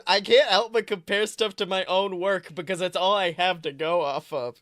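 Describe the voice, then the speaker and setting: High, bright-voiced man chatting excitedly. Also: normal speed, no echo, clean studio sound. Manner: animated, rambling, frequent swearing. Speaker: podcast co-host. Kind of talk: casual excited chat about films.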